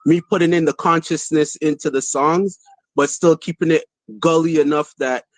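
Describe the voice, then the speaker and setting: A man from Barbados with a Barbadian accent, talking about his music as a guest on a podcast in a relaxed, rambling way. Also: on the high side, moderate pace, no room sound, poor audio. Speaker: dancehall artist guest. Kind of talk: casual interview.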